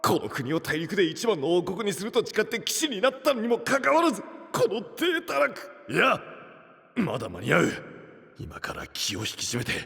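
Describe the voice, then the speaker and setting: Gruff, soft-hearted anime boss knight; tough-guy diction, hugely animated delivery. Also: high voice, normal speed, big-room sound, full character voice. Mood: anguished, resolute. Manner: rough tough-guy speech, expressive inner monologues. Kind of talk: anime voice drama. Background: faint background music.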